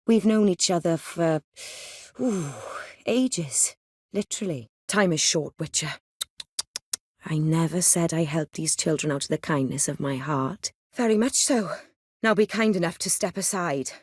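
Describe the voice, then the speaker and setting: An ancient, high-born vampire lady from a fantasy game. Her voice sits a little low and is recorded very dry and close. She speaks with cool, refined poise and a worldly, faintly condescending wryness. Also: unhurried, slightly rough, no room sound. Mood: commanding, stern. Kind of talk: video game dialogue acting.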